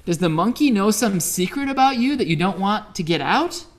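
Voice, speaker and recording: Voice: animated, moderate pace, close to an everyday voice. A storyteller voicing a meddling magical forest sprite in a children's story, incredulous and exclaiming. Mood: indignant. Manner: high, exclaiming, incredulous questioning.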